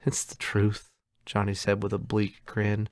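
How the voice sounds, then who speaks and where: A quiet, downtrodden teenage boy speaks softly in a subdued voice, voiced by a man reading the book. The voice is low and recorded close and dry. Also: completely flat delivery, measured pace, no room sound, natural voice. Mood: bleak. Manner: soft-spoken, subdued.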